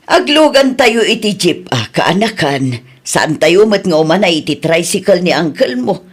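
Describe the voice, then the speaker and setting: An actress playing a middle-aged village aunt in an Ilocano radio comedy-drama, chatty and expressive, with a Filipino accent. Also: female voice, lowish voice, unhurried, breathy edge, heavily acted.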